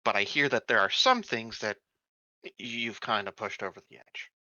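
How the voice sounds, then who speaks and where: A man's voice: lowish voice, normal speed, bright, forceful tone, no room sound, highs cut off. A man talking casually as a player in a tabletop roleplaying game.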